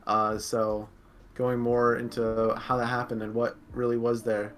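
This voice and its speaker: A man's voice: mid-range voice, completely flat delivery, unhurried, a bit bright. A man in his twenties hosting a podcast, chatting casually and asking easygoing follow-up questions. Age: 20s. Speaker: podcast host. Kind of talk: casual chat.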